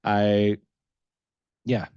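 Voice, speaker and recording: A young man with an American accent and a very low voice talks casually as a panelist about himself. The sound is close and dry, though a little dull. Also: completely flat delivery, extremely slow, dark tone, smooth, no room sound. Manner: casual, chuckles often while talking. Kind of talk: informal personal account at a career panel.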